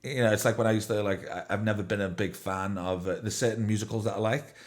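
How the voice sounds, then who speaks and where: A podcast host with a fairly low male voice talks in a loose, rambling way. He piles up fillers and casual swearing as he chats. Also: steady tone, fast talker. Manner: rambling, profane, many fillers. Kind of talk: casual chat.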